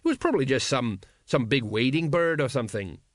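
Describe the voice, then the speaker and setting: A man performs an older police sergeant for an audiobook. He grumbles emphatically and rattles easily, with very animated pitch in a dry recording. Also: fairly quick, no room sound, natural voice. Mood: dismissive, nervous. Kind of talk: audiobook character voice.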